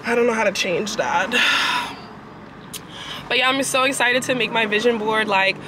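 A woman's voice: a little high, moderate pace. Nasal young woman chatting in a car. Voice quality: nasal from a cold. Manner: chatty, animated, swears casually. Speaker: vlogger. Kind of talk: casual vlog chat. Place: parked car at a traffic light. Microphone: camera on the dashboard. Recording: car with street noise behind.

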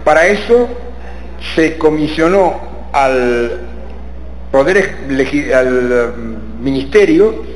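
An older man from Argentina with an Argentine accent, giving a measured, self-deprecating formal talk to a committee as an invited law professor. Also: mid-range voice, animated. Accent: Argentine Spanish with Italian traces. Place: large legislative chamber. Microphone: gooseneck microphone at a lectern. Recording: roomy chamber with faint ambience.

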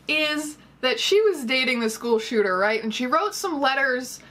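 A woman in her twenties giving sarcastic, animated commentary as a casual vlogger talking to camera. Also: high voice, moderate pace. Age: 20s. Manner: sarcastic, animated storytelling with mocking quotes. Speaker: vlogger reviewing a book. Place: small bedroom, indoors.

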